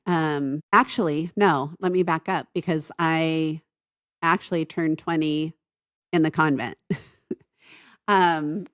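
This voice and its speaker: A woman in her fifties with a low, deep voice, chatting casually on a family podcast. She tells stories loosely, backing up to fix details. The sound is dry and close. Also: steady tone, slow pace, long pauses, dry recording. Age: 50s. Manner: rambling storytelling with self-corrections. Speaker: podcast co-host, mother.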